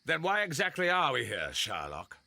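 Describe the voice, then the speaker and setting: An actor playing a haughty, imperious middle-aged government gentleman with a British accent, a raspy voice and a condescending manner, in a video game. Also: fairly high pitch, wildly swooping pitch, normal speed, dry recording, exaggerated voice. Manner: pompous, condescending.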